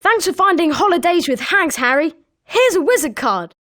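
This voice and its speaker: A woman voicing an excitable young British schoolboy wizard in a video game, with animated scripted delivery. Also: very high-pitched, moderate pace, heavily acted. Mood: grateful. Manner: animated, expressive.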